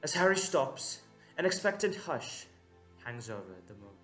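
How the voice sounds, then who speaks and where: A man narrating a scripted audio drama in a measured, dramatic way with deliberate pauses. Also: on the high side, sing-song, pushed and bright, soft background music.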